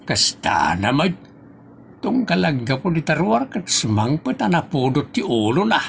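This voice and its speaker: A man performs a grumbling, exasperated Ilocano father in a village comedy radio play. The voice is creaky and full of complaint, with some noise and clicking on the recording. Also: a little high, sing-song, measured pace, exaggerated voice. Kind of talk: Ilocano comedy audio drama acting.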